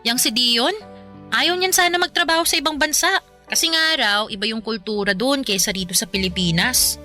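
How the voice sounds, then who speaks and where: A woman's voice: on the high side, fairly quick, sharp tone, faint music behind. A young woman with a Filipino accent playing a candid, ambitious, playful girlfriend in a conversational radio drama. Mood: chatty, admiring. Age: young adult.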